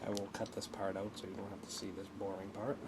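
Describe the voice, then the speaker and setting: A man giving a casual, unscripted hands-on tutorial, talking haltingly as he thinks aloud while working. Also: sits low, completely flat delivery, heavy background noise. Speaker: hobbyist presenter of a radio repair video.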